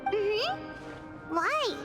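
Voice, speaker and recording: Little excitable fairy companion: childlike, very high, unhurried, music behind. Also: female voice, exaggerated voice. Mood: curious, puzzled. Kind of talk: video game voice acting. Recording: game dialogue under loud music. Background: game music.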